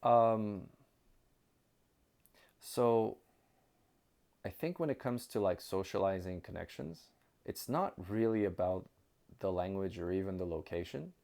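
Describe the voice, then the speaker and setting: A man chatting casually as an interview guest, with an animated storytelling manner. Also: low-pitched, unhurried, vocal fry, long pauses. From outside Japan. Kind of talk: casual chat.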